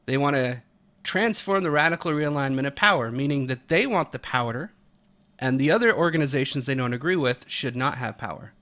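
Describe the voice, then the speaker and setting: An American man records an unscripted opinion monologue. He argues his points emphatically in a medium-pitched voice and swears casually as he goes. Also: lively intonation, fairly quick, a bit bright. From Utah, United States. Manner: emphatic, argumentative, casually profane. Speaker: video commentator.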